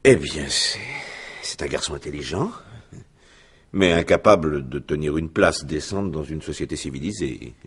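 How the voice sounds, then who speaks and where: An actor playing a haughty company director under questioning in a French radio crime drama, formal and disdainful with measured hesitations. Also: male voice, a bass voice, breathy edge, exaggerated voice. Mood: disdainful, guarded.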